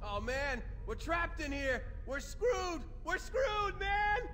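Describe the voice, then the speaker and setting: A shrill, jittery marine. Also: male voice, big pitch swings, normal speed, fairly bright tone, slight background noise, heavily acted. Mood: panicked. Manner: panicky, exclamatory. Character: jittery, excitable young male marine grunt. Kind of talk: video game combat barks.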